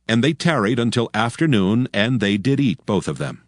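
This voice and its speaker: A man's voice: mid-range voice, sing-song, moderate pace, no echo, studio quality. A man narrating dramatized scripture in archaic English with a solemn storytelling manner.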